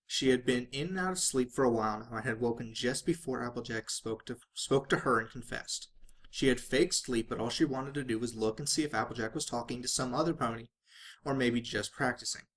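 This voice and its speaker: A man's voice: mid-range voice, fairly quick. A man reading fan fiction aloud as a dramatic narrator, sometimes stumbling and rereading phrases.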